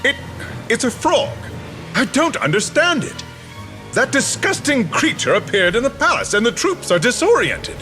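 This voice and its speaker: A woman playing a small, alarmed soldier in a fantasy game, excitable and calling out. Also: fairly high pitch, moderate pace. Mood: flustered, alarmed. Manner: excitable, shouting. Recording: echoing hall, music behind.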